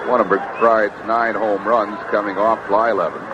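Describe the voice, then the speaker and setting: A man's voice: on the low side, fairly even intonation, measured pace, lo-fi sound. A man calling live baseball play-by-play as a radio announcer, on an old 1970s broadcast recording. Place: stadium broadcast booth. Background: loud ballpark ambience and noise.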